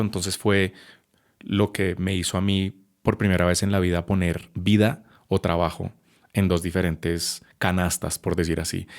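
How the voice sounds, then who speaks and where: A man, sharing his personal story as a podcast guest in a reflective, measured way with frequent hesitations. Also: low-pitched. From Colombia. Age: 30s. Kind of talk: personal testimony in a podcast conversation.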